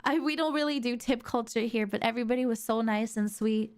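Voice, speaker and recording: A young woman streamer with a sore throat, chatting excitably and rambling with lots of fillers. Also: a little high, brisk, smooth. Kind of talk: casual stream chat.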